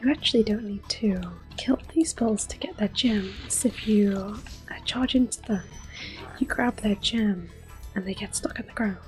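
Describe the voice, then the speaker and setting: A woman hosting soft-spoken ASMR gameplay commentary, whispering gently as she plays. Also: medium pitch, normal speed, rough recording. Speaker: ASMR gaming host. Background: game music.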